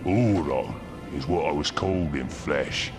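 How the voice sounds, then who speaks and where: An actor playing an ancient stone construct in a video game, heavy and rumbling, speaking in a slow, solemn way. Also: male voice. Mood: slow, puzzled. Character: ancient sleepy stone construct, huge and ponderous. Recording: music behind the voice.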